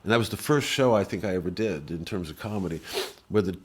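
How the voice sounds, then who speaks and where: A man's voice: sits low, lively intonation, fast talker, darkish tone, husky. A man from Missouri, a comedian and guest, telling rambling stories in casual conversation and often restarting his sentences.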